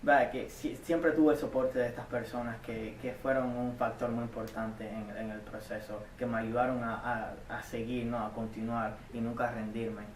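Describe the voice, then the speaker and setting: An earnest young man from Cuba speaks, answering interview questions in a halting way, often restarting and repeating words. His voice stays flat and even in pitch. The mic sits well away from him in an echoey room with a faint hum of background noise. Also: recorded from a distance. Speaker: community college student.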